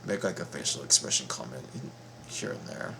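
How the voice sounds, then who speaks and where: A man giving casual reaction commentary as a video host, halting, informal and repeating himself. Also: a bass voice, lively intonation, vocal fry, background noise.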